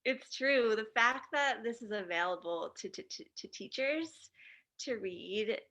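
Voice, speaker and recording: A woman's voice: a little high, unhurried, pushed and bright. A woman who stutters openly, moderating a friendly video call and reading out chat messages with frequent laughter. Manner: stutters with repeated sounds, upbeat and laughing often.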